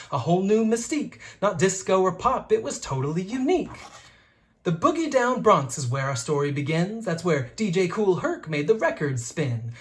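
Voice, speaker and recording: A teacher reading a rhyming children's book aloud in a rhythmic, expressive way. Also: mid-range voice.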